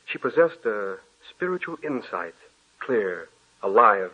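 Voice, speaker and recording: A man's voice: on the low side, big pitch swings, measured pace, breathy edge, exaggerated voice. An actor in a 1940s radio drama playing a guilt-ridden author who narrates how he fell for a woman he now believes he killed. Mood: wistful. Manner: confessional, anguished. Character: guilt-ridden male author haunted by a dead love. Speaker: narrator of his own story. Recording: tinny old radio broadcast.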